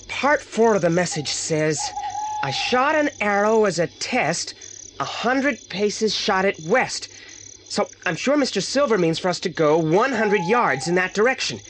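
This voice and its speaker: A man's voice: sits high, animated, measured pace, background noise. Confident boy detective leader. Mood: studious. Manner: confident, precise, commanding. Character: clever, bossy boy detective, leader of a trio. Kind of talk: 1980s British audio drama.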